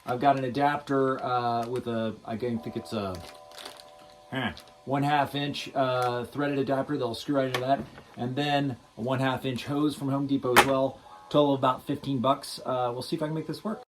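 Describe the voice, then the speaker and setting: A man's voice: mid-range voice, steady tone, moderate pace, background noise. Casual, wryly self-deprecating man demonstrating gear. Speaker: hobbyist guitarist demonstrating a homemade project. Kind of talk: casual unscripted demo vlog.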